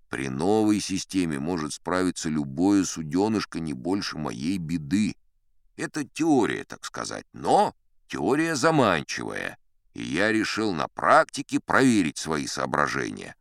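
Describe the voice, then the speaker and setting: An actor playing a boastful old sea captain who tells absurd tales in a mock-serious, pompous way for a dramatized audiobook. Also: male voice, deep voice, a bit bright, dry recording, clean studio sound, exaggerated voice. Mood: pompous, self-satisfied. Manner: mock-serious, pompously reasoning, theatrical pauses.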